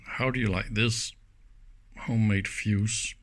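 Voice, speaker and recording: Slow-paced man musing over electronics. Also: on the low side, steady tone. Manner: musing aloud with short exclamations. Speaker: electronics hobbyist presenting a teardown. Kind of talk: casual unscripted hands-on commentary.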